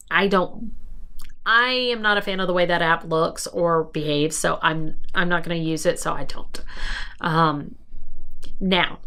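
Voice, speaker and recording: A woman in her thirties, raspy after the flu, explaining diet basics in a chatty, casual way with many fillers and restarts. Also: on the low side, fairly bright tone. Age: 30s. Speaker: vlogger. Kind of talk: casual how-to talk to camera.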